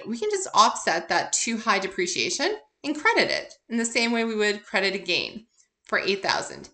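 A woman's voice: mid-range voice, bright, forceful tone. A woman instructor giving an informal recorded lecture, working through examples in a conversational, explanatory way and answering her own questions. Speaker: accounting instructor.

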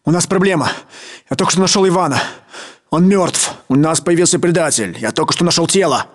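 A man's voice: fairly high pitch, brisk, close to an everyday voice. A man playing a Russian soldier on base duty in a military video game. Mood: businesslike.

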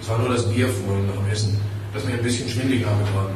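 A man's voice: fairly low pitch, fairly even intonation, fast, background noise, lightly acted. An actor playing a weary, dutiful elevator repairman in a German radio crime drama. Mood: weary, matter-of-fact. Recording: very echoey shaft, distant, faint ambience.

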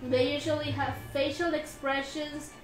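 High-pitched young woman. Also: flat delivery, normal speed. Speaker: livestream co-host reading viewer comments. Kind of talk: casual bantering livestream chat. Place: indoor room, livestream at desk. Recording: roomy echo, music behind. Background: music.

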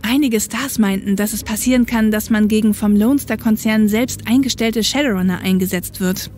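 A woman's voice: mid-range voice, normal speed, darkish tone, lightly acted. A woman playing a modest, down-to-earth young policewoman in a German fan audio drama, a little awkward in manner. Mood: thoughtful.

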